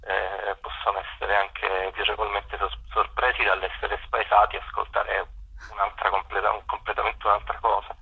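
A man's voice: fairly low pitch, monotone, moderate pace, vocal fry, breathy edge, narrow, tinny audio. A man giving unscripted radio interview answers as a guest, often hesitating and searching for words.

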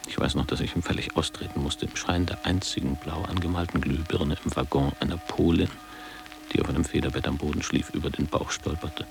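A very deep-voiced middle-aged man recalls his past in a radio play. His delivery is measured and literary, with a creaky, gravelly grain. Music sits clearly behind his reflective narration. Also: fairly even intonation. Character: reflective ageing former pupil recalling postwar boarding school. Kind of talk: scripted radio drama narration.

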